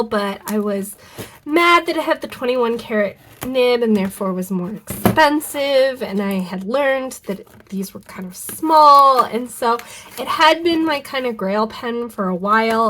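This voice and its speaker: A young woman speaks in a congested, nasal voice, clearly fighting a cold and losing her voice. She rambles warmly through her story with frequent ums, likes and restarts, like a casual vlog recorded in her own craft studio. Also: fairly high pitch, slight background noise. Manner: chatty, rambling, self-correcting with many ums and likes. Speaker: fountain pen vlogger. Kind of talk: casual unboxing chat to camera.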